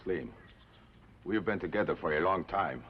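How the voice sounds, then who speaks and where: An actor playing an aging, courtly Mexican outlaw leader in a 1950s television western, speaking formally with a Mexican accent. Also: male voice, low voice, animated. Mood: firm. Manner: formal, deliberate phrasing with Spanish words. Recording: muffled old TV soundtrack, faint noise.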